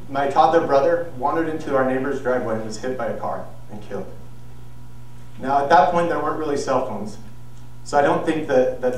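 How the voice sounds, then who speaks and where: Young man speaking in an echoey hall. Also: mid-range voice. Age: young adult. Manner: earnest, measured, with some restarts. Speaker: student speaker. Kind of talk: persuasive speech. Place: large auditorium stage. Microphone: far camera, no visible mic. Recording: very echoey hall, distant mic, faint noise.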